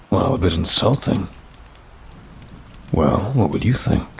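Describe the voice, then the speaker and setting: A man's voice: low-pitched, vocal fry, a little hiss or hum. A man voicing a bored, condescending demon in an intimate ASMR roleplay, with a dry, languid, faintly mocking delivery. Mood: wry, disappointed. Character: bored male demon with claws and fangs, wry, condescending.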